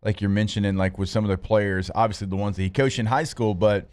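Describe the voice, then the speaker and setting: A man co-hosting a sports radio show in a studio, steady and matter-of-fact, reeling off stats. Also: fairly low pitch, fast talker. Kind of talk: casual sports talk banter.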